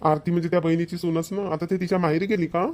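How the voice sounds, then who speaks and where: A man's voice: fairly high pitch, flat delivery, rapid-fire, darkish tone, close to an everyday voice. A man voicing a curious village husband in an amateur cartoon. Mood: inquisitive.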